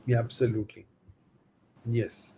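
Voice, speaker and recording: A man's voice: medium pitch, level tone, unhurried, dark tone, a little hiss or hum. Man hosting an interview, telling anecdotes. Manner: anecdotal, with frequent fillers. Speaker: host.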